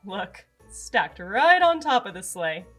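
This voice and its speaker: A woman's voice: mid-range voice, sing-song, slow pace, pushed and bright, soft background music. A woman with an American accent, hosting a children's read-aloud and telling a picture-book story expressively to young listeners. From Arizona, United States.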